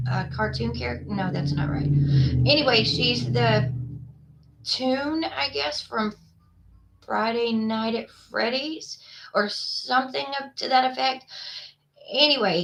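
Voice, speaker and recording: A woman's voice: on the high side, unhurried, creaky, fairly bright tone, poor audio. Texan-accented woman, middle-aged, blunt and rambling, casual solo chat. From Texas. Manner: rambling, frank, with many fillers and restarts. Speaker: vlogger.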